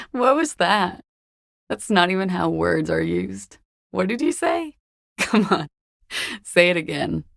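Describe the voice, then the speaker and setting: A creaky-voiced, cool young disco dancer. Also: female voice, lowish voice, wildly swooping pitch, takes it slowly, long pauses, fairly bright tone, no room sound, heavily acted. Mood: amused. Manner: languid, dry, glib politeness. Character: cool, sardonic, world-weary young woman, glamorous disco dancer. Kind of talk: video game character dialogue.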